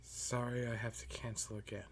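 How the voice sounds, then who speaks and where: A man playing a weary, jealous math teacher and best friend in a roleplay monologue, halting and muttering with a breathy voice. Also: mid-range voice, level tone, a little hiss or hum, close to an everyday voice. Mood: hesitant. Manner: halting, muttering, frequent sighs. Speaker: audio roleplay performer. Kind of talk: slow roleplay monologue to the listener.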